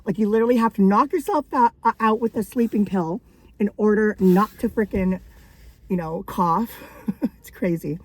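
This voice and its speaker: A woman vlogging casually about her thrift finds, her voice raspy from days of coughing, rambling and laughing between thoughts. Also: medium pitch, moderate pace, darkish tone, dry recording, low-quality recording. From Canada. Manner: chatty and rambling, frequent likes and laughs. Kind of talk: casual thrift haul vlog.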